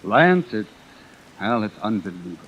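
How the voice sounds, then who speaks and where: Enthusiastic producer, wide swinging pitch, gushing, radio play, dull vintage recording. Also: male voice, big pitch swings, normal speed, a little hiss or hum. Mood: excited, admiring. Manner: gushing, emphatic. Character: enthusiastic, effusive male theater producer. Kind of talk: 1950s radio drama acting.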